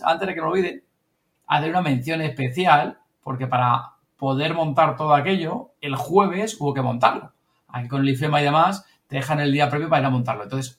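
A man from the Spanish-speaking world of Spain, with that accent and a fairly high-pitched voice. He talks in a casual recap style among colleagues, often tagging on little questions to seek agreement. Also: a bit bright. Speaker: member of an audio fiction team recapping events. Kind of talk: casual group chat recap.

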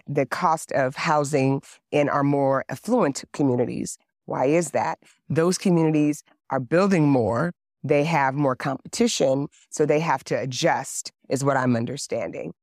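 A woman lawmaker answering a question on a conference panel, earnest and measured, with some restarts. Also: low voice, a little mellow, dry recording, clean studio sound. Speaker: state legislator on a panel.

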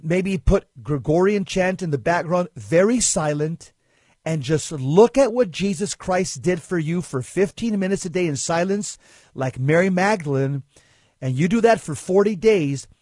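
A man's voice: sits high, darkish tone, no echo. A man co-hosting a radio talk show, speaking earnestly in a preacher-like way as he introduces his guest.

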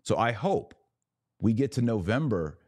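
Deep-voiced American man hosting a podcast. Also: very animated, unhurried, a little mellow, no echo, studio quality. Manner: emphatic, repeats words and phrases for stress. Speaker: podcast host and religious commentator. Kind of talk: live opinion commentary, speaking to viewers.

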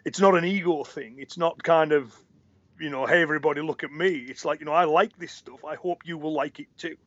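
A man's voice: a little high, steady tone, brisk, a bit bright, no echo. Interview guest, man, encouraging and earnest, restarts words while thinking; dry, dull-sounding recording. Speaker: interview guest, martial arts teacher. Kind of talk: casual conversation. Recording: dry, muffled audio.

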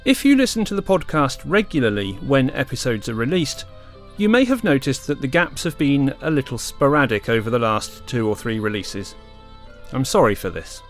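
A man hosting a podcast, reading a measured, earnest scripted introduction. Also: medium pitch, big pitch swings, faint music behind. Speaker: podcast host.

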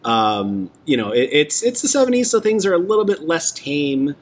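A man's voice: a little high, very animated, moderate pace, a little hiss or hum. A man hosting a casual podcast discussion, chatty and enthusiastic, often restarting his sentences. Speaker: podcast host.

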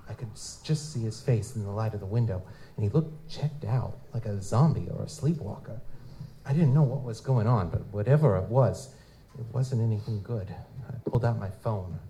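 A man as a world-weary, mellow noir private eye. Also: fairly low pitch, moderate pace, lightly acted, rough recording. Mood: brooding, wry. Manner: wry, slangy, hard-boiled. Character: world-weary male lutin private investigator, gruff and cynical. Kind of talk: noir detective narration in live audio drama. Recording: live convention performance, clear background noise.

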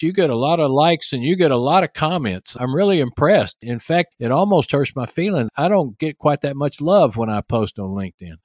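A man's voice: medium pitch, a little mellow, no room sound, studio quality. A man with a Texan accent hosting a podcast in a folksy, joking way, moving between interview chat and sponsor reads. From Texas, United States. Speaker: podcast host.

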